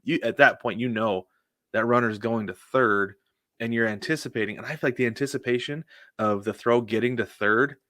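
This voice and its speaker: A man's voice: mid-range voice, fairly even intonation, moderate pace, fairly bright tone, dry recording, studio quality. A man co-hosting a sports podcast in casual chat, informal and anecdotal, with plenty of conversational filler. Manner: informal, anecdotal, frequent "like" and "you know". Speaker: podcast co-host.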